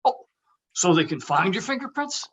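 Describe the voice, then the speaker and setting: A man's voice: high-pitched, sing-song, normal speed, a bit bright, husky, full character voice. An actor playing an anxious, sardonic older man in a comedy table read, talking in a dry, hesitant, conversational way. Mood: alarmed, exasperated.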